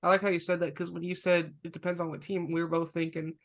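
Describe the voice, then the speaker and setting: A man's voice: on the high side, level tone, quick pace, fairly bright tone. A man in his twenties hosting a podcast, asking curious questions that stop and restart as he works them out. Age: 20s. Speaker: podcast host. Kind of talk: casual interview chat.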